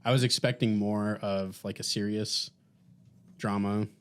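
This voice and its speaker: A man's voice: fairly low pitch, fairly even intonation, a little slow, clean tone. A man co-hosting a podcast in casual conversation. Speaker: podcast co-host. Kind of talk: casual chat.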